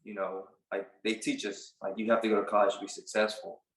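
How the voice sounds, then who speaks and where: A man in his late twenties from Puerto Rico, a barber telling his story in a casual interview, relaxed and halting with many fillers. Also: fairly low pitch, reverberant, poor audio. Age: late 20s. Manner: relaxed, halting, lots of "like" and restarts. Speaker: barber and business owner, guest.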